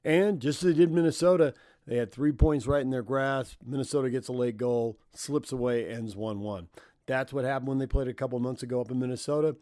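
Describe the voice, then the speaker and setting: A man's voice: medium pitch, a little fast, warm tone, no echo, clean studio sound. An American man hosting sports radio, giving commentary on games and putting questions to a coach.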